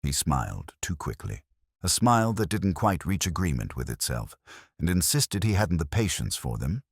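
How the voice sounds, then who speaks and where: A man reading a scripted first-person detective story as narrator, in a measured, solemn, literary manner. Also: sits low, very animated, breathy edge, no room sound, studio quality.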